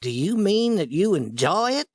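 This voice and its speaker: A man doing a boastful storybook boy who turns to begging, his voice pitched high and bouncing up and down. The sound is close and dry. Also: normal speed, no echo. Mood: teasing. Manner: high excited boyish voice. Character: eager boastful boy Ben, pleading.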